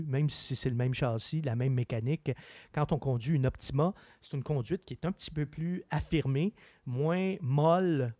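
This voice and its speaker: A man with a Québécois French accent, a car expert chatting on a radio show in an opinionated, animated way. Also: mid-range voice, dry recording. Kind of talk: casual chat.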